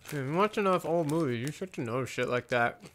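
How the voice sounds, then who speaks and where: A man's voice: fairly high pitch, lively intonation, fast, fairly bright tone, slight background noise. A young man rambling casually to himself while doing live commentary on an old movie, full of fillers and restarts. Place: at home.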